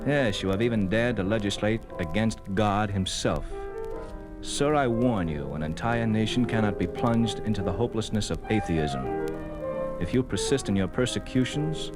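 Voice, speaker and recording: A man's voice: fairly low pitch, expressive, normal speed, loud music behind the voice, lightly acted. An actor playing a smug, curt secret policeman for a totalitarian regime in a 1950s radio drama. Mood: declaiming. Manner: curt, sardonic, tough. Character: hard-boiled male secret policeman, smug regime enforcer. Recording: vintage 1950s radio recording.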